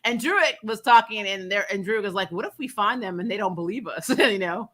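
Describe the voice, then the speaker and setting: A woman co-hosting a movie review in animated casual banter, emphatic, often laughing and swearing freely. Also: medium pitch, a little fast. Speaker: co-host of a movie review podcast.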